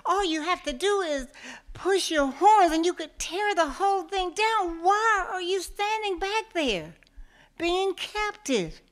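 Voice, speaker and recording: A woman voicing a boastful, impatient male tiger in a folktale, calling out high and loud in a demanding way. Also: expressive, slow pace, full character voice. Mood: bossy.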